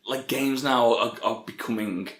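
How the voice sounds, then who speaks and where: A man's voice: medium pitch, normal speed, a bit bright. A British man co-hosting a podcast, chatting casually in an easygoing, self-deprecating way. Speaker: podcast co-host. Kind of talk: casual chat between friends. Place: room at home, no studio. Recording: echoey room at home.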